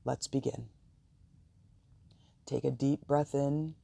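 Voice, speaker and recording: A meditation host with a soft, gravelly voice, guiding listeners calmly and soothingly with deliberate pauses. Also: medium pitch, no room sound. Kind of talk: guided meditation and affirmations.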